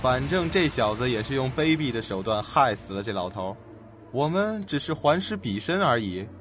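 A man's voice: medium pitch, animated, normal speed, a bit bright, highs cut off, close to an everyday voice. A man playing a scheming, calculating doctor with a sly manner in a suspense radio play. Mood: smug, scheming. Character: scheming, smug, suspicious male doctor. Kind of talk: audio suspense drama acting. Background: music.

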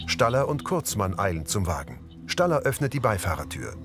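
A man's voice: fairly low pitch, sing-song, brisk, over music. A man narrating scenes as an audio describer for a scripted television crime comedy.

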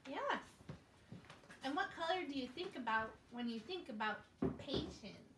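A woman's voice: high voice, lively intonation, a little slow, a bit bright. A woman hosting a children's show, speaking simply and encouragingly as she tells a scripted story in a living room. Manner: simple, encouraging talk addressed to small children. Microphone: distant camera. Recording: echoey, noisy room, distant camera microphone.

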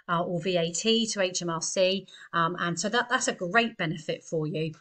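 A woman's voice: medium pitch, sharp tone. A woman in her thirties, an accountant, giving earnest, chatty business advice straight to a webcam in a small room. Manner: chatty, earnest, gesturing with hands. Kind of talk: direct-to-camera business advice.

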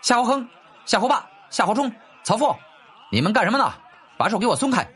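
Casting: male narrator as shrewd, cheeky young lord and merchant, animated delivery, historical audiobook. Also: extremely high pitch, fast talker, husky, pitched above the performer's own voice, full character voice. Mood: angry shouting. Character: cheeky, shrewd young nobleman turned businessman.

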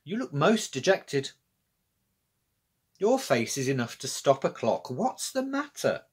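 A brisk French granny from a storybook, acted out by a man, takes charge with kindly bossiness. The voice is slightly husky and swings widely up and down in pitch. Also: big pitch swings, exaggerated voice. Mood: concerned. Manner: commanding, decisive.

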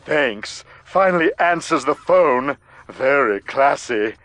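An actor playing a petty, gleefully mean mad scientist in a video game cartoon, sarcastic and gloating. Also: male voice, medium pitch, lively intonation, slow pace, very noisy. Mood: smug sarcasm. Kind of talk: animated video game cutscene acting.

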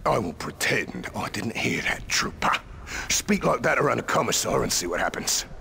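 An actor playing a stern, seasoned soldier in a war game, with a gravelly voice and a forceful manner. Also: male voice, on the low side, very animated, moderate pace, a little hiss or hum, exaggerated voice.

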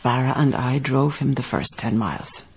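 An actress in a radio drama playing a dignified, thoughtful baroness, telling her tale in measured literary phrases. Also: a bass voice, darkish tone, husky, heavily acted. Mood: storytelling. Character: reflective, dignified baroness, storytelling colonial farm owner. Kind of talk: radio drama acting and narration.

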